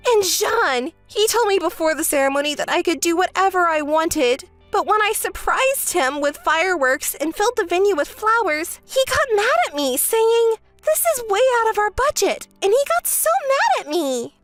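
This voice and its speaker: A woman's voice: sing-song, moderate pace, few pauses, faint music behind. A woman voicing a selfish, whiny young woman who begs and complains to her sister in a story. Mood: complaining. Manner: shrill, begging, self-pitying. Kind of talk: storytelling with acted dialogue.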